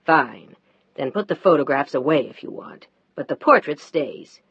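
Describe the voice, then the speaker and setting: A woman voicing a husband, dropping lower and speaking curtly in a marital quarrel. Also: expressive, moderate pace, fairly bright tone, breathy edge, lo-fi sound, exaggerated voice. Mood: annoyed. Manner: low, curt, annoyed. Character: man, a husband, tired then tender.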